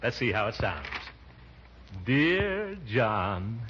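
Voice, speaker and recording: Pompous chuckling uncle, very animated delivery, 1940s radio comedy, muffled old recording. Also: male voice, medium pitch, drawn-out words, heavy background noise. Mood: coy, self-pleased. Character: pompous, jovial middle-aged uncle with a fussy streak.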